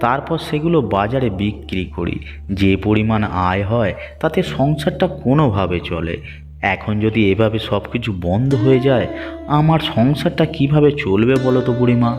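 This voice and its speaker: A man's voice: mid-range voice, lively intonation, normal speed, a little mellow, big-room sound, soft background music, natural voice. A man voicing a poor, anxious village farmer in a moral-story cartoon, plaintive and earnest. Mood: worried, resigned.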